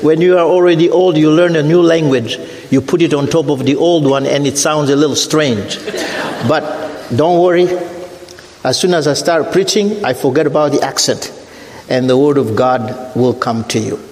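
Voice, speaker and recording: A middle-aged man from Africa with a French accent, preaching a sermon as a guest missionary, deliberate and repeating his points. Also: a little mellow, slight background noise. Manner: deliberate, repeats phrases, rises in pitch when preaching. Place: church sanctuary. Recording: echoey church hall.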